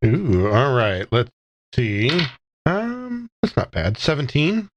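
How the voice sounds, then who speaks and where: A man's voice: mid-range voice, huge pitch swings, slow pace, highs cut off, noisy background. A man talking casually as a player in a tabletop role-playing game.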